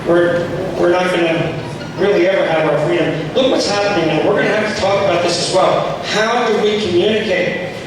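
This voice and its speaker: A hoarse man giving an impassioned speech at a political group meeting, rallying the room and asking it questions. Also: sits high, fairly even intonation, fairly quick. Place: meeting hall. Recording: echoey hall from far off, noisy.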